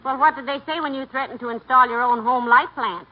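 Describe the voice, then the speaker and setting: An actress playing a patient, sensible wife with a dry, gently teasing manner in a 1940s radio comedy. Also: female voice, sits high, fairly even intonation, a little fast. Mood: patient, probing. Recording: dull 1940s radio recording.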